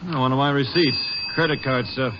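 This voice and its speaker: An actor playing a folksy, hesitant small-town gas station owner in a 1950s radio drama, with plenty of "uh"s and "oh"s. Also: male voice, medium pitch, steady tone, brisk, lightly acted. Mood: nervous. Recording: noisy lo-fi 1950s radio broadcast.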